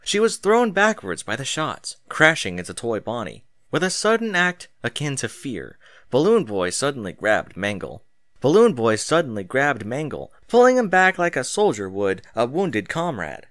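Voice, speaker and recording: A man narrating a scripted dramatic story as its narrator, with theatrical, deliberate emphasis. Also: mid-range voice, huge pitch swings, fairly bright tone, no echo.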